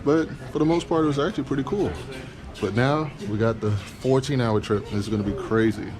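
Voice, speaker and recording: A man from Trinidad, a car vlogger, chatting casually and directly to his viewers in a relaxed travel vlog. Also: mid-range voice, fairly quick, a crowd in the background. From Trinidad, Caribbean. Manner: relaxed, chatty, talks straight to the camera.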